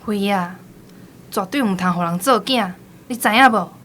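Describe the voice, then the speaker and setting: Radio drama aunt, played by a woman: Taiwanese accent, earnest, stern, bright forceful voice at middling pitch. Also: normal speed, lightly acted. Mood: urgent, stern.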